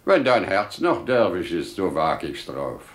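An actor playing a wise, shrewd older father in a 1950s German radio play, theatrical and rhetorical, dwelling on words with dramatic pauses. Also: low voice, sing-song, exaggerated voice. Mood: surprised, amiable. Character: wise older merchant father, male, shrewd, teasing yet earnest.

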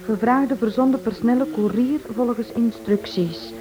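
A woman acting as a nosy, pouting wife in a radio play. Her voice is high and playfully melodramatic, on a muffled recording with some noise. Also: moderate pace, natural voice. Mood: curious, excited. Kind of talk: Dutch-language radio drama acting. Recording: dull old recording with clear noise.

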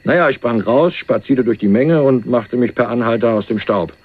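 An actor playing a hunted young millionaire on the run, wry and streetwise, talking in a dry, colloquial, confiding way in a crime radio play. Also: male voice, medium pitch, moderate pace, natural voice. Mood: wry storytelling. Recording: muffled phone-like narrowband radio recording.

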